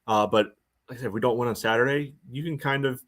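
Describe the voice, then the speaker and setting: A man co-hosting a sports podcast, giving casual soccer analysis in loose, halting, conversational phrases. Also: medium pitch, a bit bright.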